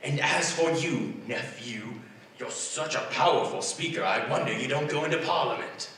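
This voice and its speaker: A man's voice: mid-range voice, lively intonation, normal speed, fairly bright tone, lightly acted. An actor playing a grumpy, miserly older businessman with a scornful, curt, blustering manner, in a 1940s radio drama style. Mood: irritated, scornful. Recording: roomy echoing stage, distant mic, faint noise.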